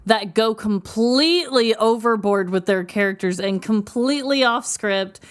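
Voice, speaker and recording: A woman's voice: on the high side, unhurried. A woman podcast co-host chatting casually in an emphatic, encouraging way. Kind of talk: casual chat.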